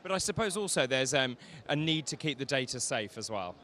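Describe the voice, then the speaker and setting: Brief: male interviewer, bright sharp tone, eager questions at a trade show stand. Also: medium pitch, lively intonation, fairly quick. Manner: enthusiastic, inquisitive.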